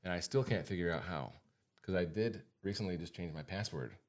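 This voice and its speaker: American guy podcast host, deep voice, casual chat, repeats stuff to drive it home. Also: expressive, brisk. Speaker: podcast host and financial advisor.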